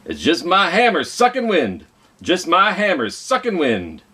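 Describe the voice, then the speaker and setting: A mighty storybook folk hero, acted out by a man reading aloud, confident and bold. The voice is husky and plain-spoken, lifted in a proud challenge. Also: high-pitched, huge pitch swings, measured pace, pushed and bright, voice pushed up, full character voice. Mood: calm, proud.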